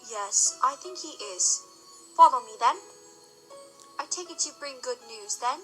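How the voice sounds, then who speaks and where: A woman playing a genteel, polite mother and hostess in an audio drama. Her voice is high and bright with lively pitch movement, over faint music. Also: a little slow, exaggerated voice, rough recording. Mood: polite, expectant.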